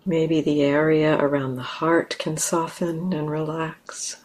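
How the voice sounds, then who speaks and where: A woman teaching a guided meditation in a gentle, unhurried way with long pauses. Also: low-pitched, level tone, rough recording.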